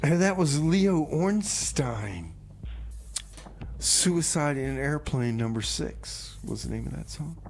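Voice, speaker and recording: A man's voice: fairly high pitch, lively intonation, takes it slowly, creaky, a little hiss or hum. A man hosting a music radio show in a casual style, with comic banter.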